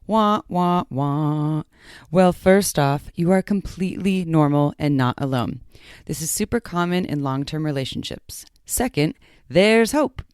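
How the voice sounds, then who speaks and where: A woman's voice: deep voice, expressive, unhurried, darkish tone, smooth, no room sound, studio quality. A woman hosting a podcast, speaking in a playful, informal and upbeat way. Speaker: podcast co-host. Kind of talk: upbeat promotional reading and casual podcast chat.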